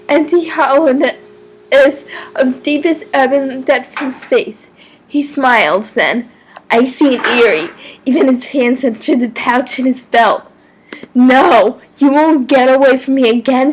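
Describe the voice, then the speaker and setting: Woman doing a mock dramatic story reading, high voice, real slow n deliberate, trips on words a bit. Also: rough recording. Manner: theatrical, deliberate, stumbles over words. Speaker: amateur reader of fan fiction.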